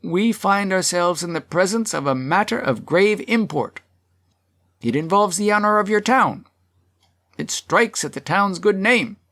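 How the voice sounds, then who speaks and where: A narrator voicing a grave, formal male chairman of a town meeting in an audiobook, speaking in measured declamation. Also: medium pitch, lively intonation, fairly bright tone, voice pushed up. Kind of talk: acted audiobook dialogue.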